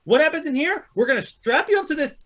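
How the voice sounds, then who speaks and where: A man's voice: very high-pitched, expressive, fast, fairly bright tone. A man co-hosting a casual movie chat, slangy and exasperated, with some swearing. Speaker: podcast co-host.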